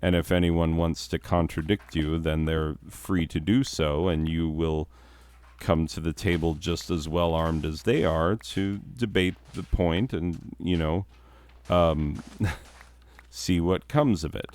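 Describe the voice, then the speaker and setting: A man, a teacher, chatting casually in a deep voice with a downward, newscaster-like inflection that sounds authoritative. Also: fairly even intonation, takes it slowly, a little mellow. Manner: rambling with restarts and chuckles. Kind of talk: casual chat.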